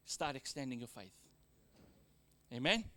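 A man with a Fijian accent preaching a sermon, calling out amens to the congregation, mixing joking asides with exhortation and swinging from loud to quiet. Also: medium pitch, lively intonation, a little slow. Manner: exhorting, with frequent amens and call-outs to the congregation.

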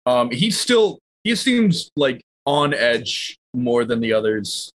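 A man playing a tabletop roleplaying game, casually narrating his character's actions in a halting, self-correcting way. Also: medium pitch, sing-song, no room sound.

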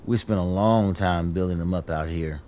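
A man's voice: sits low, normal speed, dark tone, muffled recording, natural voice. A man acting in a radio drama as a blunt, grudging safe house keeper with folksy, clipped speech. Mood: gruff, annoyed. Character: gruff, grudging husband running a resistance safe house.

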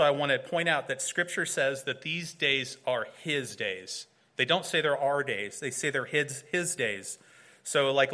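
A man with a bright, clear voice delivers a sermon to a congregation. He explains earnestly, with some filler and restarts, and he often poses rhetorical questions. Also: mid-range voice. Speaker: pastor.

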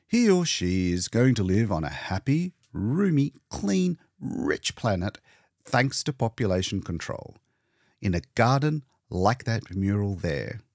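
An elderly, self-assured doctor played by a male narrator holds forth in an audiobook. The delivery is expansive and emphatic, swinging widely in pitch at an unhurried pace, recorded close and dry. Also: sing-song, darkish tone, dry recording, full character voice. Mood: grand, optimistic. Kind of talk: audiobook character dialogue.

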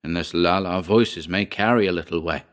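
An injured nobleman, performed by a man reading a tale, proud yet relenting. The voice sits on the low side, with a weary, measured earnestness suited to a storybook. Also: lightly acted. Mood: hopeful.

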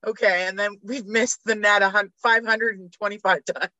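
Bright, blunt woman talking hockey. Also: medium pitch, moderate pace, dry recording, low-quality recording. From Canada. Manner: animated, laughs often, blunt opinions. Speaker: podcast guest. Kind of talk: casual sports chat.

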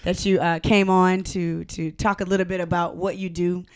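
A woman's voice: on the low side, little pitch movement, moderate pace, smooth, dry recording. Chatty upbeat woman podcast host. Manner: chatty, upbeat, affirming with repeated "right". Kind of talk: casual podcast chat.

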